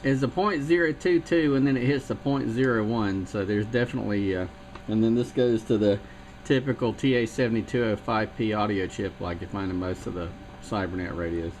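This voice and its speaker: A man's voice: fairly low pitch, a little fast, darkish tone. A man from South Carolina, a radio hobbyist, talking through circuit details in a thoughtful, meandering way. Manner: thoughtful, meandering, many fillers. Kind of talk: technical chat about radio circuits.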